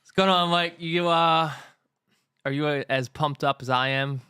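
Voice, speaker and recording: A man's voice: fairly high pitch, steady tone, unhurried, bright, forceful tone, clean tone. Casual man hosting a trading livestream. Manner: laid-back, swears casually. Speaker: livestream host. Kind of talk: casual live chat.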